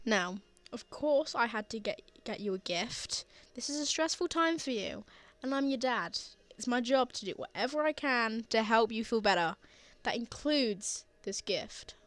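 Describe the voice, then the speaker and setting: A woman playing a gentle, reassuring father who comforts a frightened child in a tender ASMR roleplay. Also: fairly high pitch, animated, a little slow, dry recording. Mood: warm, earnest. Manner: soothing, tender, earnest.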